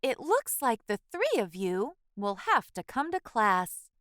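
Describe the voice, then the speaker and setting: A friendly female schoolteacher, played by a woman reading a storybook, speaks in a high, clear voice. She is patient and encouraging, coaxing small children along with lively, expressive phrasing. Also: unhurried, no room sound, clean studio sound. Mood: firm. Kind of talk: storybook character voice.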